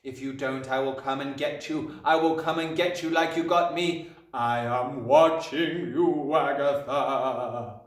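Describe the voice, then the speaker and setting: A man's voice: mid-range voice, fairly even intonation, unhurried, reverberant, recorded from a distance, lightly acted. Man voicing an ominous, commanding ghostly avenger. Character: menacing ghostly male avenger delivering written threats.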